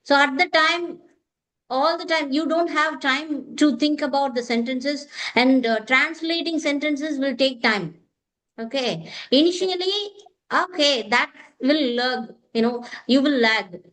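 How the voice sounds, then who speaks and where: A woman talks through speaking practice in a high voice. She sounds like a teacher in casual conversation, agreeing often and encouraging the other speaker. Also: a little slow, a bit bright, poor audio. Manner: encouraging, repeats "okay" often. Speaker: English teacher and host.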